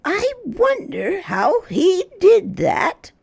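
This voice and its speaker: Storyteller putting on high-voiced old grandmother. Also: wildly swooping pitch, extremely slow, creaky, darkish tone, dry recording, studio quality, heavily acted. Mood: amazed. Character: kindly, spirited old grandmother.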